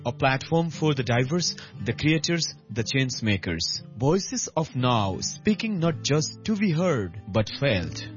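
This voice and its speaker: A man narrating a scripted inspirational radio show intro with solemn, deliberate rhetorical emphasis. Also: mid-range voice, sing-song, dull audio, faint music behind.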